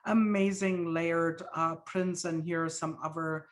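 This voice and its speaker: German-accented woman hosting a webinar over video call, low-set voice, chatty and loose. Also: steady tone, a little slow. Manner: chatty, with frequent fillers and laughs. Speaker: host. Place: home studio.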